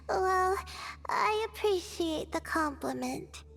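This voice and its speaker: A woman playing a timid, self-doubting young female student in a video game, gentle and modest, with a hesitant, soft-spoken delivery. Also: extremely high pitch, lively intonation, very slow, gravelly, full character voice. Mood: wistful.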